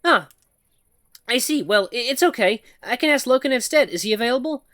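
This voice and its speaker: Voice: mid-range voice, lively intonation, brisk, a bit bright, no echo, studio quality, voice pushed up, lightly acted. A game reader voicing a nervous young mouse woman who stammers and apologizes. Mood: anxious.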